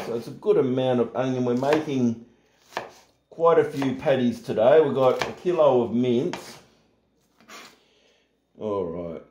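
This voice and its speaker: A man's voice: medium pitch, roomy sound, slight background noise. A middle-aged man hosting a casual home cooking tutorial in his kitchen, chatty and joking at himself. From Australia. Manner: relaxed, chatty, jokes at himself.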